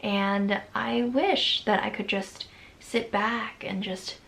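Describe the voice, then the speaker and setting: A woman's voice: medium pitch, steady tone, takes it slowly, vocal fry, a bit bright. A woman in her early twenties talking anxiously in a casual, rambling vlog, often laughing as she speaks. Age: 20s. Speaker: vlogger.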